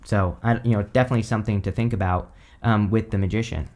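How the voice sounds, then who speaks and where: A man giving a casual tarot reading, hoarse because he is losing his voice, rambling with lots of "like" and "you know". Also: on the low side, steady tone, fast, darkish tone. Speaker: tarot reader.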